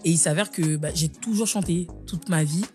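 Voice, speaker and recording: A young woman from Guiana, a singer answering interview questions casually, pausing often on fillers and restarting her sentences. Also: lowish voice, mellow, soft background music. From French Guiana. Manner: hesitant, many fillers and restarts. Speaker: singer, guest.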